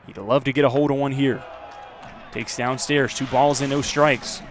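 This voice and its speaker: A man with an American accent giving live radio play-by-play commentary of a baseball game, measured between plays and louder on the action. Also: medium pitch, fairly even intonation, some chatter behind, a little hiss or hum.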